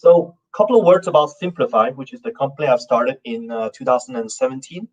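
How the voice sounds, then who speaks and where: A man's voice: mid-range voice, lively intonation, rapid-fire. A man giving a conference talk as a company founder, speaking loosely with many fillers and restarts. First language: not Norwegian. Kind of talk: conference presentation. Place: conference stage. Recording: dull, muffled sound from a distant mic.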